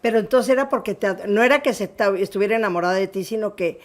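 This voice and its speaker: A woman with a Mexican accent hosts a casual interview in a voice of middle pitch. She is chatty and keeps her guest talking with short prompts such as "oye" and "¿no?". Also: quick pace. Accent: Mexican Spanish. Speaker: interview host. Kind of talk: friendly conversational interview.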